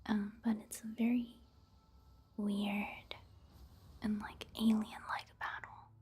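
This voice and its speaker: Soft whispery asmr gamer lady, super breathy, takes her time, close on the mic. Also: mid-range voice, flat delivery. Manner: gentle, unhurried, hushed. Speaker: ASMR host and game commentator. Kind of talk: soft-spoken whispered ASMR game commentary.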